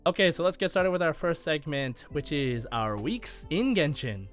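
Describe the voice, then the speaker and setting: A man's voice: fairly high pitch, expressive, normal speed, faint music behind. A man hosting a gaming podcast, talking casually. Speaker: podcast host.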